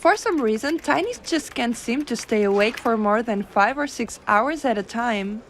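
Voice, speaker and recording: A woman playing a gentle, teasing giantess secretary in an ASMR roleplay, coaxing and cooing softly. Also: a little high, expressive, slight background noise. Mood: gentle, attentive. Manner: soft coaxing, cooing, unhurried.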